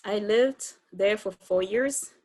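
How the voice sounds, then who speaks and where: A woman's voice: medium pitch, takes it slowly, a little mellow, no echo, studio quality. A woman from Cameroon with a Cameroonian accent, telling her own story conversationally as an interview guest.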